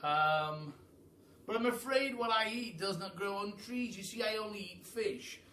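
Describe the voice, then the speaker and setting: A man voicing a nervous, polite pelican in a storybook, hesitant and excitable. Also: high voice, echoey room, far from the mic, slight background noise, voice pushed up. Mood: nervous, apologetic. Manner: hesitant, halting and wordy.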